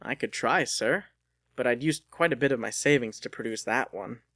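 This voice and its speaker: A man's voice: medium pitch, moderate pace, fairly bright tone, no room sound, clean studio sound, close to an everyday voice. A man voicing an earnest, nervous young male inventor who speaks hesitantly and respectfully in a dramatic audiobook. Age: young adult.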